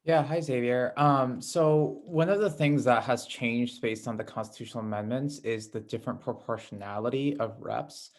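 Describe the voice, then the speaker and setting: A man on a video call who asks a candidate a question in a measured way. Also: medium pitch. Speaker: council member asking a question. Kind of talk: question in an online meeting.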